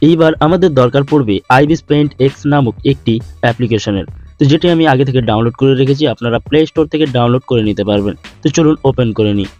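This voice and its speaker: A man's voice: medium pitch, darkish tone. A man explains steps in an instructional tutorial voice-over, recorded a little dull and lo-fi. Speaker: tutorial host. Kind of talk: step-by-step screen tutorial voice-over. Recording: dull lo-fi voice-over, music behind. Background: background music.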